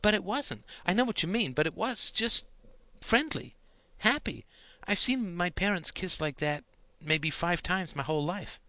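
A man's voice: high voice, animated, a little slow, sharp tone, dry recording, voice pushed up, exaggerated voice. A man voicing a gossipy, giggly teenage girl chatting in slangy audiobook dialogue. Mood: wistful, earnest. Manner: chatty, slangy, girlish delivery.

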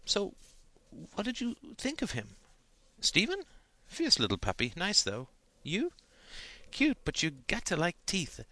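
Male narrator as a teenage girl, high pitch, bright tone, chatty, slangy, gossipy, audiobook dialogue. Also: wildly swooping pitch, unhurried, no echo, exaggerated voice. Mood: earnest, confiding. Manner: chatty, slangy, girlish delivery. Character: gossipy, giggly teenage girl confiding late at night.

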